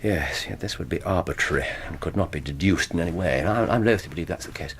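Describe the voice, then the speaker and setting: An actor in a radio drama playing a brooding, cerebral English detective, precise and given to thinking aloud in broken bursts. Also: male voice, sits low, fast, a little hiss or hum. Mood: musing, intent. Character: brooding, cerebral English male detective recounting an old case.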